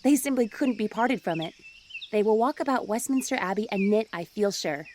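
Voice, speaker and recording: A woman playing an excitable, teasing young college woman in a dramatized audiobook, with a playful, gushing manner. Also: medium pitch, a little fast, slight background noise, close to an everyday voice. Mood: delighted, teasing. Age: young adult.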